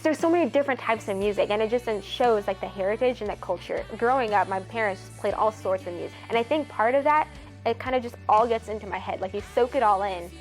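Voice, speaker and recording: An eighteen-year-old woman from Ethiopia, a college student and singer-songwriter, talking earnestly in a casual interview, full of "like" fillers. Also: medium pitch. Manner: earnest, chatty, many "like" fillers.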